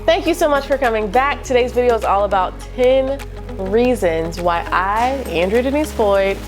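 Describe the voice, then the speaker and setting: A woman's voice: on the high side, lively intonation, normal speed, over music. A young woman vlogging to camera, chatty and casual, saying "y'all", with a stuffy nose from a cold. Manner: chatty, southern-tinged "y'all", laughs lightly. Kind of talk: casual faith vlog to camera. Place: room lit by natural daylight.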